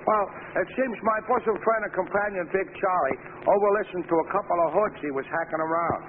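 An actor playing a chatty, dim-witted cab driver with slangy New speech in a 1940s radio drama. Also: male voice, high-pitched, fast talker, phone-quality sound, natural voice. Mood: gossipy. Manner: slangy, repeats his phrases at sentence ends. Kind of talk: 1940s radio comic-relief acting. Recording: thin old radio broadcast, some hiss.

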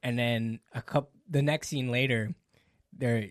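Man, casual chat, animated scene recaps with laughter, dry close sound. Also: mid-range voice, unhurried, dry recording. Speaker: film discussion co-host. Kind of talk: casual film discussion.

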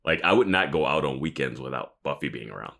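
A man with a very deep voice talks casually as a podcast co-host. His intonation moves around a lot, giving his off-the-cuff chat an animated, exclamatory feel. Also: quick pace, gravelly. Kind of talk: casual chat about a TV show.

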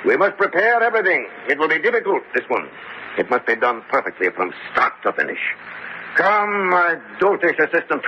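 A villainous baron for a horror radio play, relishing his power with florid, mock-genial menace. His pitch leaps and dips extravagantly. The recording is dull, with faint noise behind him. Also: sing-song, normal speed. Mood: gloating menace. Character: gloating, theatrical villainous male baron with supernatural willpower. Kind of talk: campy horror radio drama acting.

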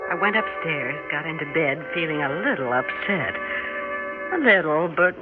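An actress playing a middle-aged American wife and mother in an old radio drama, apologetic but quietly sure of herself, hesitant and earnest. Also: sits low, expressive, moderate pace. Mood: reflective. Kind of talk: old-time radio drama acting, first-person narration. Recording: thin phone-like vintage radio sound, music behind.

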